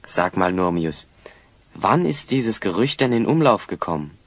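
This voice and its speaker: A man's voice: low voice, big pitch swings, a little slow, no room sound, heavily acted. A man playing a shrewd, confident hero sleuth in an audio drama, speaking in an assured, probing way. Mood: shrewd, thoughtful. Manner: assured, probing, clearly enunciated. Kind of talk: German children's audio drama acting.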